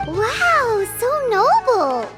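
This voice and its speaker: A woman voicing a tiny, excitable, childlike female fairy companion in an anime game, blunt and prone to exclaiming. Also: very high voice, extremely slow, over music, exaggerated voice. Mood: excited.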